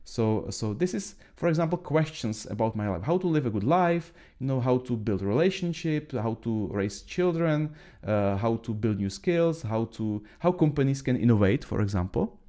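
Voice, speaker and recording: This Czech-accented man in his thirties talks through his on-screen notes in English, his second language, pausing often on fillers and restarting sentences. His voice is mellow and warm in tone, and his pitch rises and falls in a lively way. He sounds close to a webcam mic. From Czech Republic. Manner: halting, many fillers and restarts. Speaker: trainer. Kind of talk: screen-share tutorial.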